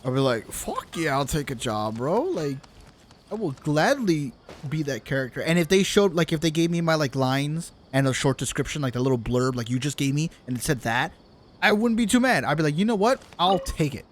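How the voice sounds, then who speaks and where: A man's voice: fairly high pitch, animated, a little fast. A man chatting casually with viewers while streaming a game, slangy and teasing, with some swearing. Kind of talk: casual chat with viewers during gameplay. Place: live stream at a gaming setup.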